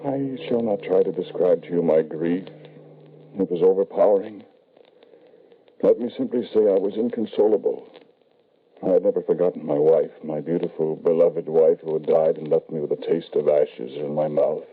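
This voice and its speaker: An actor playing an embittered, lonely, grieving father in an old radio drama, speaking formally and deliberately with dramatic pauses. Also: low voice, slightly rough, narrow, tinny audio. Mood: brooding, sorrowful. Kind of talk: 1940s radio drama acting, first-person narration. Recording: band-limited vintage radio recording.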